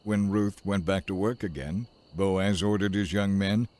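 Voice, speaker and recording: A man's voice: low voice, fairly even intonation, a little slow, darkish tone. A man reading as the narrator of a dramatized audiobook, in scripted storytelling style.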